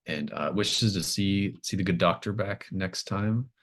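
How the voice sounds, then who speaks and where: A man's voice: deep voice, a little slow, a bit bright. A man casually talking his players through a session as game master, feeling his way through phrases as he goes. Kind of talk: casual wrap-up talk with players.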